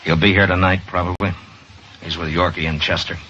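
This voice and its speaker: An actor playing a stern, steady American frontier lawman in an old radio western, speaking in a measured, grave, commanding way. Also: low-pitched, close to an everyday voice. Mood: sober, weary. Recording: muffled, hissy old radio recording.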